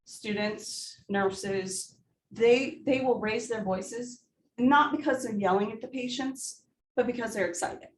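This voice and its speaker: A woman's voice: fairly high pitch, slow pace, slight background noise. Emphatic woman instructor in an echoey room. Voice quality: big voice. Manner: emphatic, coaching, rhetorical questions. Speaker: nursing instructor. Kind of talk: simulation debrief. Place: simulation room. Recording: echoey room, heard from a distance.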